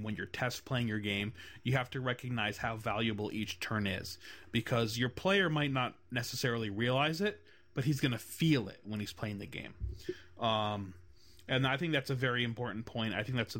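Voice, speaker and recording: A man hosting a laid-back podcast about games. He talks at a middling pitch and wanders through his points with lots of fillers and restarts. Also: moderate pace, fairly bright tone. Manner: rambling, many fillers like "you know" and "um". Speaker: podcast host. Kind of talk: casual podcast chat about game design.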